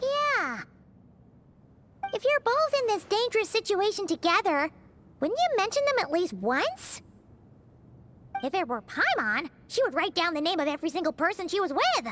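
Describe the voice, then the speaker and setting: A woman's voice: very high-pitched, sing-song, normal speed, long pauses, a little hiss or hum, exaggerated voice. A woman voicing a tiny, childlike, chirpy and bossy fairy companion in an English video game, with an American accent. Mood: cheeky, opinionated. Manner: speaks of herself in the third person, exclaims brightly. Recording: music behind the voice.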